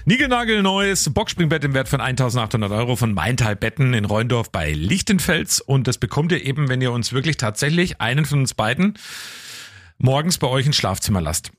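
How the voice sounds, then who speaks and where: A man's voice: mid-range voice, sing-song, fairly quick, no room sound. A man co-hosting a morning radio show, bantering jokily and reading listener letters aloud.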